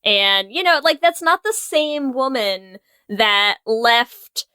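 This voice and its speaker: A woman with a very bright, crisp voice in a dry, close recording. She chats casually as a podcast host, wry and given to blunt, exaggerated jokes. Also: a little high, animated, takes it slowly, no room sound. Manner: wry, crude, hyperbolic humour. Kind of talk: casual chat.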